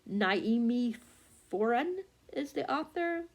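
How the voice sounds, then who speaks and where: Woman book vlogger, halting casual chat. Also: fairly high pitch, expressive. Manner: halting, scattered, often losing her thread and correcting herself. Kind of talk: casual rambling chat about books.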